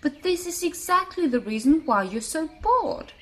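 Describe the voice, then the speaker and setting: A woman voicing a brisk, cheerful young rabbit in a storybook, lecturing emphatically. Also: high-pitched, sing-song, voice pushed up. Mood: scolding.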